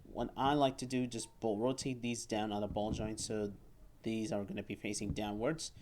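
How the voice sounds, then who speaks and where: Man giving a halting hands-on toy review. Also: fairly low pitch, little pitch movement, a little mellow, slight background noise. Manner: halting, often repeats words and phrases. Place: indoor room at a table.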